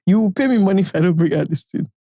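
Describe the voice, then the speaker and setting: A young Nigerian woman co-hosting a podcast, chatting casually with emphatic, animated delivery. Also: fairly low pitch, racing, no echo. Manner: animated, emphatic, swears and promises often. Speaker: podcast co-host. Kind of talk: casual chat.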